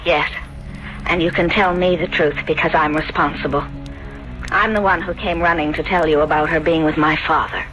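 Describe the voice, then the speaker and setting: Meek, bright-voiced young woman. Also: low-pitched, steady tone, normal speed, low-quality recording. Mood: ashamed. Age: young adult. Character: meek, self-deprecating young Italian woman, guilty and shy. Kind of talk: 1970s radio drama acting. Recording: vintage radio broadcast, music behind.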